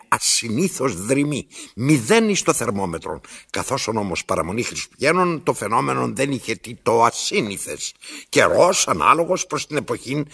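A domineering old man in an absurdist radio play. His voice is gravelly and forceful, swinging widely in pitch. He declaims pompously, halting and repeating himself like a tyrant used to being heard. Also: heavily acted. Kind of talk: theatrical radio play acting.

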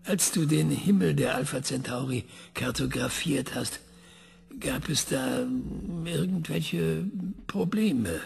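An actor playing an obsessive older male scientist who questions an AI in a calm, measured, probing tone, in a radio play. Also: fairly high pitch, vocal fry, breathy edge, long reverb tail, exaggerated voice.

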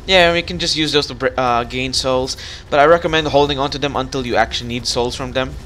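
A man's voice: medium pitch, steady tone, fast, fairly bright tone, slight background noise. A man chatting casually through co-op gameplay as he leads, jokey and often thinking aloud. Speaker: gamer leading a co-op session.

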